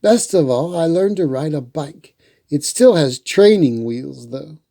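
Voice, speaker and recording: A storyteller voicing an excited, curious little girl from a picture book. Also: mid-range voice, unhurried, creaky. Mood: proud, eager.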